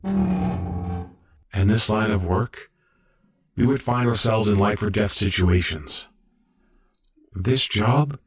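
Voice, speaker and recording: A man playing an earnest, dedicated young robot medic in an audio drama, reflective and polite. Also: fairly low pitch. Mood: somber, reflective. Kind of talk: audio drama narration and dialogue.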